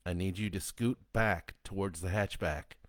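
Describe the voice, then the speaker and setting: A man voicing a stern, grim man who gives firm, flat commands. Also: low-pitched, normal speed, no room sound, clean studio sound.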